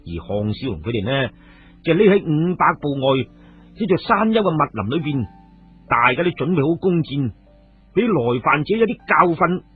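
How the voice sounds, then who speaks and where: A man's voice: on the high side, sing-song, normal speed, breathy edge. A man with a Cantonese accent narrating a dramatised story as a radio storyteller. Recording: muffled phone-like radio audio, music behind.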